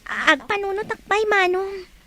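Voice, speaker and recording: A woman plays a young female student in an audio drama. Her voice is extremely high and plaintive, with an expressive, self-doubting manner that shows her insecurity about her looks. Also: measured pace, full character voice. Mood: bashful. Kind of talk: Ilocano audio drama acting.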